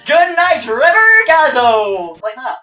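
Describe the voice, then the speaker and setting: A woman's voice: high-pitched, big pitch swings, takes it slowly, sharp tone, recorded from a distance, full character voice. Woman playing a cheeky court jester, off-mic. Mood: showy. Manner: theatrical, bantering, teasing. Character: cheeky court jester cracking ill-timed jokes. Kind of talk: improvised in-character Q and A skit. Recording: off-mic voice with music behind.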